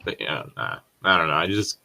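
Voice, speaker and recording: A man's voice: low voice, lively intonation, brisk, creaky, pushed and bright. A man chatting casually as a podcast co-host, swearing freely, rambling and correcting himself as he goes. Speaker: podcast co-host reading and discussing creepypastas. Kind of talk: casual commentary chat.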